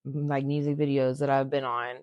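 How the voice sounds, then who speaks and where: Raspy low-voiced woman, blunt and joking. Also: little pitch movement, moderate pace, darkish tone, no echo, dull audio. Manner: blunt, joking, swears freely. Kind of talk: casual chat.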